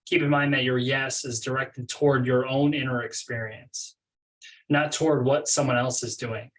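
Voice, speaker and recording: A man's voice, medium in pitch, sits a little away from the microphone. He leads a guided meditation, giving scripted instructions in measured phrases with space between them. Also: little pitch movement, far from the mic, low-quality recording.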